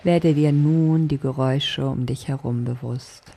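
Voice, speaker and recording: A woman leading a guided sleep meditation as a yoga nidra teacher, speaking softly in a calming, deliberate way. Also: rumbling low. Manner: soft, calming, deliberate instruction.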